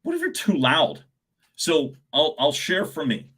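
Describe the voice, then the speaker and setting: A middle-aged man with a loud speaking voice coaches viewers on a live stream, and his tone is bright and forceful. He talks in lively bursts, with his pitch and volume rising and falling. He often chuckles between points. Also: unhurried, breathy edge.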